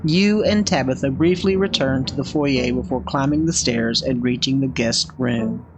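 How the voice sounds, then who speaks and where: A woman's voice: rumbling low, normal speed, muffled recording. Older woman narrating a game, music behind. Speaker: gaming video host reading a visual novel aloud. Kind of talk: cozy read-aloud narration of game text. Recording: background music under the voice.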